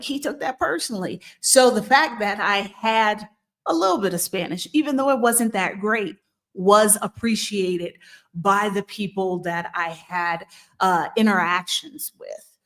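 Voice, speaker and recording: Slow, easygoing woman giving advice. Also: mid-range voice, a bit bright. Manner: unhurried, thoughtful, frequent ums and restarts. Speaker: host of a move-abroad channel. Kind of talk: casual advice talk. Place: living in Mexico.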